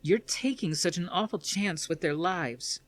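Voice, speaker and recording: A man's voice: high voice, a little slow, no room sound, pitched above the performer's own voice. A man voicing an agitated, anguished young woman, a commander's daughter, in a dramatic story reading. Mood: angry, accusing.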